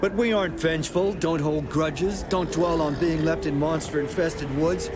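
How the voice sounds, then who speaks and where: An actor voicing a blunt, folksy, forgiving horse in a video game. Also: male voice, fairly high pitch, fairly even intonation, moderate pace, background noise, close to an everyday voice. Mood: earnest, forgiving. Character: plain-spoken, forgiving horse given a voice.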